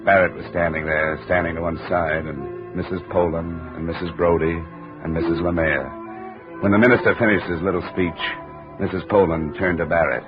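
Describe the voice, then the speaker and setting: A hard-boiled insurance investigator, voiced by a man, narrates in terse, clipped sentences with a deep, low voice. The 1950s radio recording is dull and lo-fi, with orchestral music clearly underneath. It has the feel of a classic detective radio show. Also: fairly even intonation, normal speed, lightly acted. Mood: grim.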